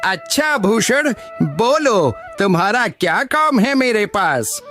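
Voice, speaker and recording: A man voicing a smooth-talking, reassuring village landlord with an ingratiating manner in a cartoon moral story. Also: sits high, very animated, slow pace, pushed and bright, full character voice. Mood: curious. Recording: music behind the voice.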